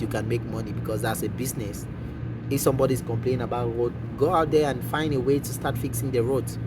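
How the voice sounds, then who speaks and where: A man's voice: medium pitch, very noisy. Reflective man from Cameroon, distant mic. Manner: thoughtful, questioning, says "like" and "bro" often. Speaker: vlogger. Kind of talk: casual reflective monologue. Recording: distant mic with loud music behind.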